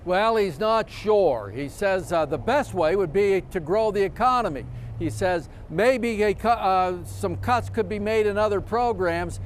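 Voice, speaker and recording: High-pitched male TV news reporter. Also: expressive, unhurried, few pauses, a little hiss or hum. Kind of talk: news report, live and scripted.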